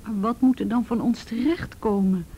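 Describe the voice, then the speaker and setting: A woman playing an ashamed, abused teenage girl in a radio drama, halting and repeating herself, often sinking to a breathy whisper. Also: fairly high pitch, dark tone, muffled recording, a little hiss or hum, close to an everyday voice. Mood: distressed, pleading. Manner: halting, repeats words, tag questions. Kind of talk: naturalistic radio drama acting.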